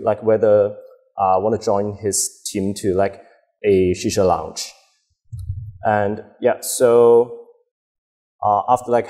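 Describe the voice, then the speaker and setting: A man's voice: fairly low pitch, a little mellow. A man, answering interview questions with hesitant, filler-heavy phrasing on a lapel mic. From Hong Kong. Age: 20s. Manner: hesitant, many fillers and restarts. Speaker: guest, bar co-owner. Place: dim bar set with a host.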